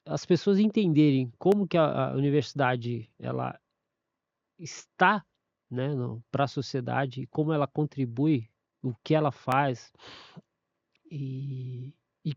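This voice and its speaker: A man's voice: mid-range voice, slow, vocal fry, a little mellow, dry recording, lo-fi sound. A man, a university staff member giving a casual testimony, often stumbling, restarting and repeating words.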